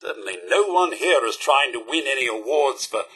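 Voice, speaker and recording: A man's voice: mid-range voice, lively intonation, fairly quick, fairly bright tone, breathy edge. A man voicing a polite, fussy, loyal robot butler in a video game, with a British accent and a prim, formal, dryly theatrical manner. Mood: wary, dry. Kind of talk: video game companion voice acting.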